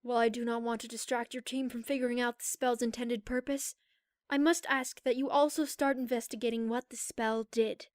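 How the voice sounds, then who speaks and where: A woman playing a grave, regal pony princess in an audio drama, speaking in a formal, measured, composed way. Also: a little high, flat delivery, no echo, lightly acted. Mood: grave, authoritative. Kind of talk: scripted audio drama acting.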